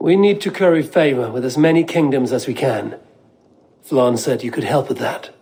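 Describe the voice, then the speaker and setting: A man as a terse Norse warrior, mid-pitched. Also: moderate pace, darkish tone, close to an everyday voice. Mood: calm, confident. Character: stern, confident, dry-witted Norse warrior. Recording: music under dialogue.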